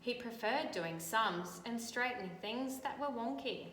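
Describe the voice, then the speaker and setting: An Australian woman teacher reading a picture book aloud and gently pointing out details in the pictures. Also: on the high side, a little slow. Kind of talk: storybook read-aloud with commentary on pictures. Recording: echoey room, faint background noise.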